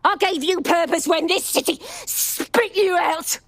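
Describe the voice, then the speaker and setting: A woman's voice: very high-pitched, unhurried, a bit bright, no room sound, heavily acted. An actress playing a vicious, defiant female crime boss in a video game, with a British accent and a coarse, taunting manner. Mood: defiant, scornful.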